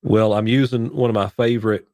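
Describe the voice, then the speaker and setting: A man hosting a casual live church-tech Q&A from his home studio, sick with a cough, rambling as he clicks through his gear on a boom-arm condenser mic. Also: fairly even intonation, fairly quick, dark tone, dry recording. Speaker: church tech livestream host. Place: home studio, heater running behind.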